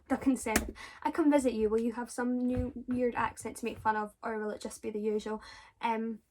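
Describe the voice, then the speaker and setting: A woman's voice: a little high, flat delivery, normal speed, background noise. A young Scottish woman with a Scottish accent, chatting casually as she answers viewers' questions, with lots of fillers and self-corrections. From Scotland. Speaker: drama student vlogger.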